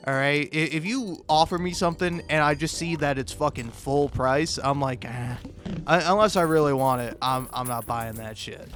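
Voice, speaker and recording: A man doing a rambling, casual comedic monologue, halting and profane, often stammering and cutting himself off. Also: a little high, a bit bright. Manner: profane, halting, self-interrupting with stammered repeats; chuckles often while talking. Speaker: host of a comedic video.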